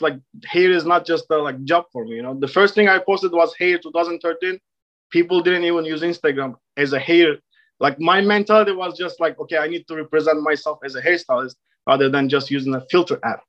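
A man in his thirties with a Turkish accent talks as a guest, his voice a touch high. He sounds confident and self-assured but restarts his sentences often, and the recording is dull and muffled. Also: a bit bright. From Turkey. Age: 30s. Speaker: hairstylist guest. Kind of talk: casual interview chat.

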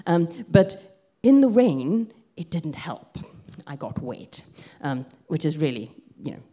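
A woman with a South African accent preaches in a low, mellow voice. Her delivery is lively, with pitch that swings widely and rises as she warms to her story. She tells it like a conversation, with frequent ums. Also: takes it slowly. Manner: lively storytelling, gets excited, lots of ums. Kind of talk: sermon with a personal story.

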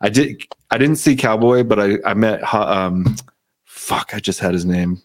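A man with a lowish voice chats casually as host. He rambles, swears freely and cracks jokes, often trailing off mid-thought. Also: normal speed. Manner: rambling, profane, jokey. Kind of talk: casual chat.

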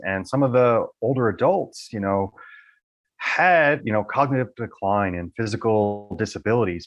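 A male academic speaks conversationally, as a guest explaining his work. His voice sits a little lower than average for a man. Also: a bit bright. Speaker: academic researcher, associate professor. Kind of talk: conversational interview talk about research.

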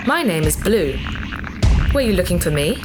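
Blue, woman playing an animated, earnest robot companion. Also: on the low side, moderate pace, loud music behind the voice. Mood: resolute, remorseful. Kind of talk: sci-fi audio drama acting.

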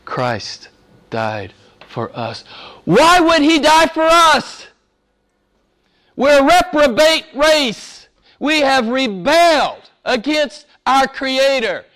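A man preaching a sermon with fervor, hoarse from a cold and losing his voice, dropping to a hush and rising to shouts. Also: very high voice, sing-song, slow pace. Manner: fervent, swings from hushed to shouting. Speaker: pastor.

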